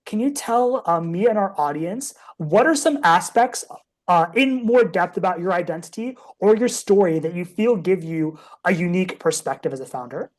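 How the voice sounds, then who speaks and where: A woman's voice: on the low side, lively intonation, moderate pace. A young woman hosting a podcast, asking questions and reflecting thoughtfully with frequent fillers. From United States, Indian American. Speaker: podcast host.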